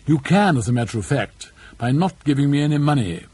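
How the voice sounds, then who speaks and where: A man's voice: on the high side, animated, fairly quick, dark tone, breathy edge, pitched above the performer's own voice. A man voicing a dissolute, sulky young aristocrat in an audiobook, with Received Pronunciation and a languid, defiant manner. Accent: English Received Pronunciation.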